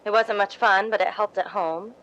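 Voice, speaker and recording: A woman's voice: mid-range voice, fairly even intonation, normal speed, a bit bright, muffled recording, close to an everyday voice. A woman as a humble, wistful young theater devotee. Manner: modest, hesitant, wistful storytelling. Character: soft-spoken, earnest, seemingly humble young female theater devotee. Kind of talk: recreated 1950s radio drama acting.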